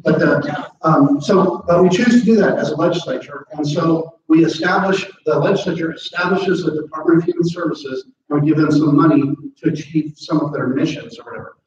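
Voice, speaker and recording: A man's voice: a little high, fairly quick, few pauses, darkish tone. A middle-aged American man, a state legislator, talking casually to a meeting and rambling with many fillers and restarts. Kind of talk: casual talk with audience questions. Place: large meeting room. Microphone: far camera, no mic. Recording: echoey room, distant and dull.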